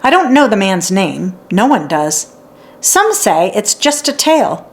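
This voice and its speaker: Woman voicing a quiet, earnest young apprentice boy. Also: mid-range voice, very animated, unhurried. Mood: unsure. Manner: quiet, sincere. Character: polite, earnest young male tutor and metalworking apprentice.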